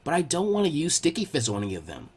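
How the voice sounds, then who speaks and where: A man's voice: a little high, wildly swooping pitch, fast talker, husky, heavily acted. A man voicing an earnest, eager young boy ninja trainee with a candid, informal way of talking in a story reading. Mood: hesitant. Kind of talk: fan fiction character dialogue.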